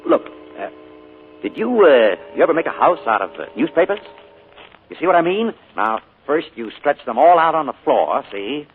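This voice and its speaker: A genial uncle with a hidden menace. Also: male voice, on the high side, sing-song, moderate pace. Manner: genial, then sharp and forceful when crossed. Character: smooth, secretive male uncle with a menacing edge. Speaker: radio actor. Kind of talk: 1940s radio acting. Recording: tinny old radio broadcast, faint music.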